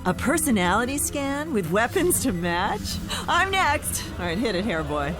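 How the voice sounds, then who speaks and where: A woman's voice: fairly high pitch, big pitch swings, measured pace. A woman playing a vain, mocking villainess in a cartoon game, sarcastic and theatrical. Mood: cocky. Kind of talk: animated game cutscene acting. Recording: game music behind the voice.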